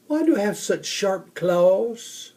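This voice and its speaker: A man voicing a curious, excitable young male bear cub in a children's storybook. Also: sits high, slow, voice pushed up, exaggerated voice. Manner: eager, questioning, exclaiming. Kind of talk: children's storybook read-aloud.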